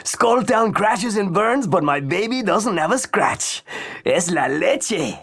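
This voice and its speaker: An actor playing a reckless young male daredevil who whoops and shouts with excitement. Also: high voice, takes it slowly, gravelly, husky, full character voice. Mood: thrilled.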